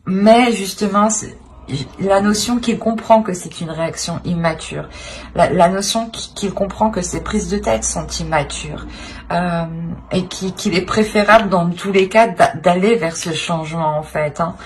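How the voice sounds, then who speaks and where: Woman w/ lowish voice doing a tarot reading, kinda hesitant, keeps rewording stuff, bit of background noise. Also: a little slow. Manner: hesitant, searching, repeats and rephrases phrases often. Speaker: tarot reader, channel host. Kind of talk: improvised tarot card reading addressed to viewers.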